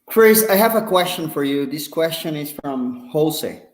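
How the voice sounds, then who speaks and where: A man's voice: a little high, lively intonation, unhurried. Echoey male host with Puerto Rican accent. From Puerto Rico. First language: Spanish. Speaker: interview host. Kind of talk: casual interview. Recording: echoey, roomy sound.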